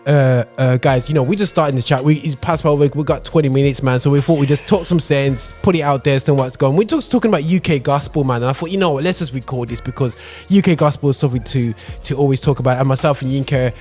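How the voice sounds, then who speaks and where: A man's voice: medium pitch, quick pace, hardly any pauses, darkish tone, faint music behind. A man co-hosting a podcast in a studio, chatting casually and animatedly, peppering his talk with "you know what I'm saying". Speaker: podcast co-host. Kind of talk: casual chat. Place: radio studio.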